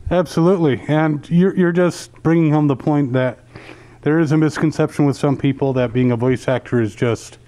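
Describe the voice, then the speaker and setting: A man's voice: a little high, moderate pace, mellow. A middle-aged man interviewing someone in a conversational style. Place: indoor hotel sitting room. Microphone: handheld interview mic, speaking through a face mask.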